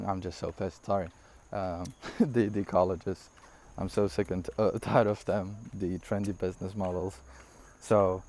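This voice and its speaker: Rambling young man, low voice. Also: a little hiss or hum. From Syria. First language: not English. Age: 20s. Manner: energetic, rambling, frequent laughs and fillers. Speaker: online business coach. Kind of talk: casual direct-to-camera talk.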